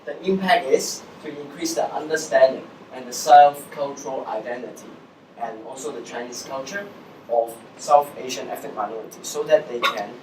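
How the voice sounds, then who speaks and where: A young man from Hong Kong, speaking with a Hong Kong accent, gives a talk in an explanatory way. His voice sits at a middling pitch. It comes from some distance in an echoey room, with faint noise behind it. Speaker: social entrepreneur giving a guest talk. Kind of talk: public presentation to students.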